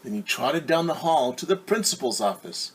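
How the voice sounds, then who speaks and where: A man who is a teacher reading a picture book aloud to young children, pausing to explain things simply. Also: on the high side, big pitch swings, husky. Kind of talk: children's storytime read-aloud with asides.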